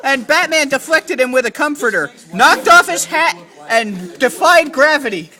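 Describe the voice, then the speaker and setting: Bright, high-pitched comic storyteller. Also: lively intonation, normal speed. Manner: mock storybook narration, profane, absurd.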